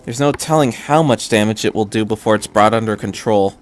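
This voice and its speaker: A man voicing a composed, concerned female military scientist in a fan story, measured and formal. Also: lowish voice, slight background noise, natural voice. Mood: uneasy.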